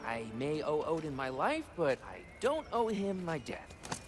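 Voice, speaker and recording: A man's voice: a little high, huge pitch swings, a little slow, faint music behind. A man playing a friendly, awkwardly candid guide in a video game, rambling along in a chatty way. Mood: awkward friendliness. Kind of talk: video game cutscene acting.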